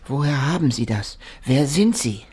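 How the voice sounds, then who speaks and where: A man's voice: medium pitch, expressive, normal speed, darkish tone, close to an everyday voice. Anxious male seeker for radio drama, played by a man: everyday mid-range voice, pleading questions, slight background noise. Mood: shaken. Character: anxious young man searching the underworld for his girlfriend. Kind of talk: modern radio play acting.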